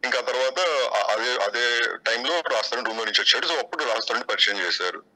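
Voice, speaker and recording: Bright, fast-talking man, defensive interviewee. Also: mid-range voice, poor audio. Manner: defensive, evasive, halting repetitions. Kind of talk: spontaneous interview answers.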